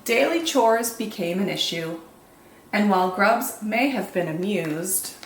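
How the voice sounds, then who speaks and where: A woman reading picture books aloud as a children's storytime host, expressive and deliberate, with drawn-out dramatic emphasis. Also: mid-range voice. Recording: echoey room, faint background noise.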